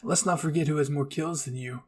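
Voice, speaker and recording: A man's voice: on the high side, fairly even intonation, a little fast, darkish tone, breathy edge. A man voicing a brash, boastful, grumbling soldier in an amateur anime roleplay. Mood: indignant shouting.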